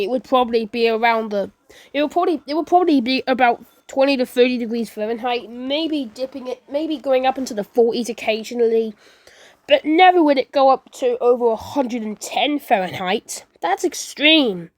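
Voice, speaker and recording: A woman with a fairly high voice delivers a homemade emergency announcement in a close, dry sound. She rambles through it, stumbling over phrases, repeating them and correcting herself as she goes. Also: expressive, moderate pace, no room sound. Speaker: creator and performer of a fan emergency-alert scenario. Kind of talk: improvised amateur disaster broadcast narration.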